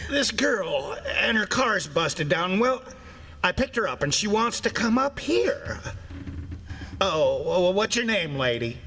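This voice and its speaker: An actor playing a flustered, folksy forest ranger who stammers and speaks colloquially, in old-time radio drama style. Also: male voice, high-pitched, sing-song, takes it slowly, bright, forceful tone, slight background noise, full character voice.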